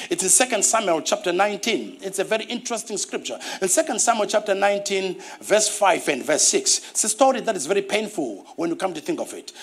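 A middle-aged man with a Zimbabwean accent preaching a sermon on a church stage, animated and repeating key phrases, calling for responses. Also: high voice. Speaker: pastor.

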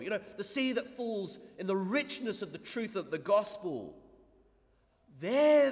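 A man's voice: high-pitched, big-room sound. A man preaching a conversational homily, with many asides to the congregation. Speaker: priest. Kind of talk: sermon. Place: church.

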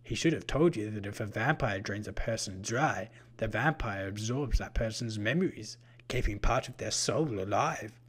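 Man voicing a husky, low, menacing monster. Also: a bit bright. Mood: smug, lecturing. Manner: odd, deliberate way of talking with drawn-out phrases. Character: menacing, haughty purple-skinned vampire-like killer, male, unhurried.

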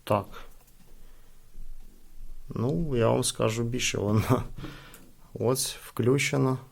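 A man giving a casual, hands-on product review, speaking haltingly as he thinks aloud. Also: medium pitch, gravelly. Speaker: gadget unboxing reviewer.

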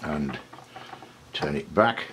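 A man with a husky voice, informally demonstrating old audio equipment in a hesitant, conversational way. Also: extremely low pitch, expressive, takes it slowly, a bit bright, slight background noise. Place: indoor room with record player. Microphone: clip-on mic, not near enough.

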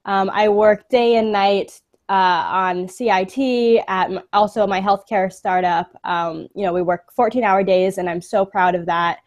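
A woman's voice: mid-range voice, steady tone, measured pace, a little mellow. Upbeat young woman on a video call. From San Francisco Bay Area, USA. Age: 20s. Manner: upbeat, laughs often, many fillers. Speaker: interview guest, pageant delegate. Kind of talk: casual live video interview. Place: indoor room, sitting on a sofa. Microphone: webcam video call.

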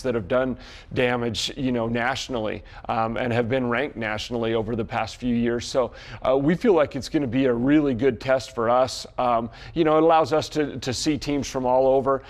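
Male, middle-aged, American. Folksy coach in a sports interview, medium pitch, repeats himself as he explains. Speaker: softball coach. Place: small indoor locker-style studio set.

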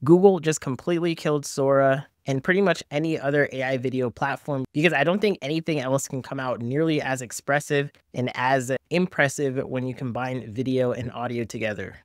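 A man's voice: medium pitch, a little fast, few pauses, dry recording. Dry-sounding man, enthusiastic video reviewer. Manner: enthusiastic, casual slang. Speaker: host, reviewer. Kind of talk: scripted-sounding video review narration, casual.

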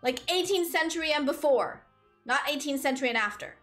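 A woman's voice: high-pitched, a little fast, soft background music. Giggly chatty young woman streamer. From Portugal. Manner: chatty, giggly, rambling, animated. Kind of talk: casual chat with live chat. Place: small room indoors at a desk. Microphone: headset.

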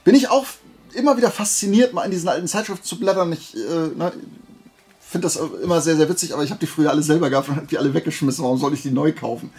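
A man's voice: on the high side, animated, quick pace, a little mellow. Chatty man with music behind him. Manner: conversational, colloquial, enthusiastic collector's chat with self-posed questions. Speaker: host of a flea market haul video. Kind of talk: casual chatty show-and-tell.

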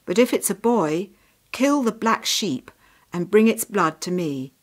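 A woman voicing a stern soldier father in a storybook, speaking in a forceful, commanding manner. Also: mid-range voice, animated, a little slow.

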